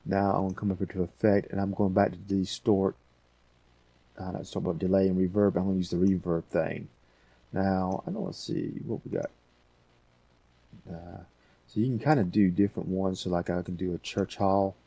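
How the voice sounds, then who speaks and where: A man hosting a casual software tutorial, thinking aloud in an unscripted way. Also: low voice, level tone, normal speed, gravelly, slightly rough, no echo. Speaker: tutorial host.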